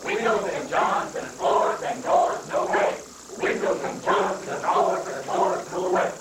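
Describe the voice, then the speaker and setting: A man's voice: high voice, expressive, takes it slowly. A man playing a political adviser in an amateur dramatic reading of a satirical play. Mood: declaiming. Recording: noisy, echoey live reading from far off.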